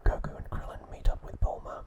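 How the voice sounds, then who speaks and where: A man narrating scripted ASMR in a breathy, unvoiced whisper, with a measured, soothing delivery. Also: dull audio. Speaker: ASMR channel host.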